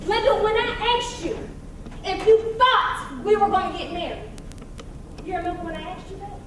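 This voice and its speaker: A woman's voice: very high voice, normal speed, fairly bright tone. An actress playing a hurt, exasperated young woman ending a romance, speaking emphatically and pleadingly in a theatrical stage style. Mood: hurt, firm. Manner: emphatic, pleading, repeats words. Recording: distant, echoey hall recording with audience noise.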